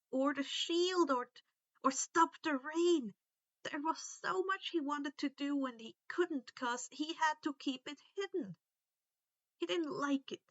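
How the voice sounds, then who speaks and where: A woman's voice: sits high, fairly bright tone, no room sound, highs cut off. A woman narrating fan fiction expressively, like an audiobook, stretching words for dramatic emphasis. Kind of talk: expressive scripted story narration.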